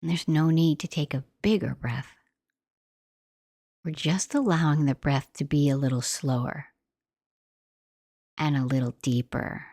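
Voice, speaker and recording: A woman guiding a meditation in a gentle, soothing, unhurried manner, like soft instructional narration. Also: deep voice, no echo, clean studio sound. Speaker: meditation guide.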